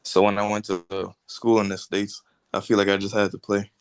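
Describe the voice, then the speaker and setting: A young man with a gravelly, flat voice, speaking plainly. He comes through a dry call mic. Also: fairly low pitch, fairly quick, no echo. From the Bahamas. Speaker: college football player. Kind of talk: casual video call chat. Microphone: video call.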